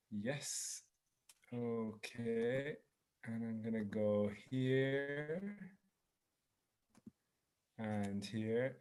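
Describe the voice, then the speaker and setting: A Scottish man in his thirties, a tour guide giving a relaxed, chatty virtual tour over a video call. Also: medium pitch. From Scotland. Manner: relaxed and chatty, sprinkling in French words. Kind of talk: live virtual tour presentation. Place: home in Marseille.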